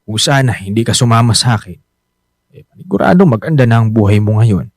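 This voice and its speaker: An actor playing a weary, self-blaming middle-aged husband, a poor driver, apologetic and earnest, with a Manila Filipino accent in a radio drama. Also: male voice, mid-range voice, animated, a little fast, darkish tone, dry recording, clean studio sound. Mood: ashamed, downcast.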